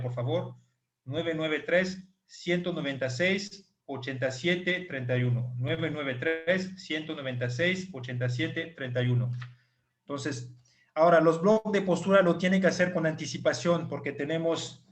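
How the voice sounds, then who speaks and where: A male clinic doctor, not a native Spanish speaker, patiently explaining details and slowly repeating numbers on an online group call. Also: on the high side.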